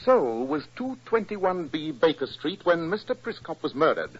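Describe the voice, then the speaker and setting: An actor playing an incisive, proud English detective with a British accent, precise and emphatic, in 1940s radio style. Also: male voice, on the high side, big pitch swings, normal speed, husky. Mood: triumphant, accusing. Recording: dull 1940s radio recording.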